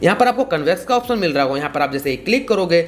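A young man hosts a casual tutorial. His pitch moves up and down in a lively way as he explains each step, often repeating himself. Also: very fast, slight background noise. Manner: repetitive, explanatory. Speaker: tutorial host.

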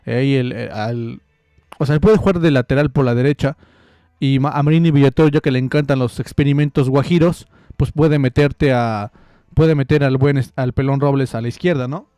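Young guy rambling about football, warm mellow voice, dry close mic. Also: mid-range voice, no echo. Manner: rambling, joking, many restarts. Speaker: football commentator. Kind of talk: casual football analysis. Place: small room indoors. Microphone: studio mic close up with headphones.

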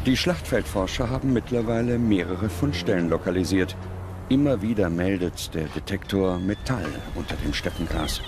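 Low-pitched male documentary narrator. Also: expressive, moderate pace, background noise. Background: music.

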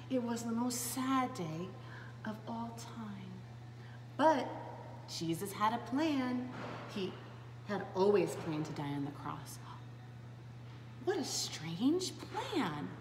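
Echoey woman reading a children's Bible storybook. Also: medium pitch. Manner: animated and dramatic, with gasps, hushed asides and questions to young listeners. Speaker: preschool storytime reader. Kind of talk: expressive read-aloud of a children's Bible storybook. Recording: roomy, echoing space with clear background noise.

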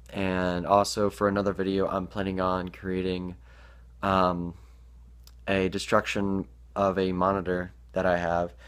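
A teenage boy vlogging to his webcam in a small bedroom, hesitant with many ums, sounding stuffed up from allergies. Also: low voice. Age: teens. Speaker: gaming YouTuber. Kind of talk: casual vlog to camera.

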